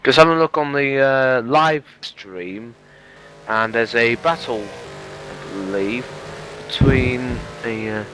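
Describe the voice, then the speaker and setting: A man's voice: medium pitch, slow pace, low-quality recording. A man from North Wales, commentating a sim race live, hesitant with many fillers and restarts. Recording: loud background noise.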